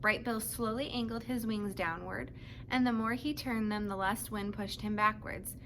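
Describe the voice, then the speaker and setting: A woman's voice: medium pitch, steady tone, moderate pace, a bit bright, slight background noise. A woman narrating a children's book as a read-aloud, telling the story to young listeners. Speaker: storybook reader.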